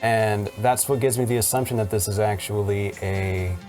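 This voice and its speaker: Lowish guy voice showing off old machines, casual explainer chat, bit of music under it. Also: fairly even intonation, normal speed, darkish tone. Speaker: presenter showing vintage audio machines.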